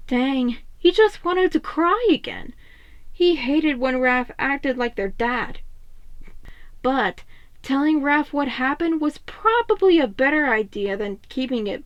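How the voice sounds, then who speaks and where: A woman reading fan fiction as an expressive scripted story narration. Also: high voice, dry recording, muffled recording.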